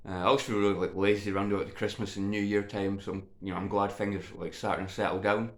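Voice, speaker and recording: A man's voice: on the low side, little pitch movement, fast talker. A man chatting casually to viewers as a video game vlogger, slightly congested with a cold, in an informal, hesitant, meandering way. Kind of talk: casual rambling chat to viewers. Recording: echoey untreated room.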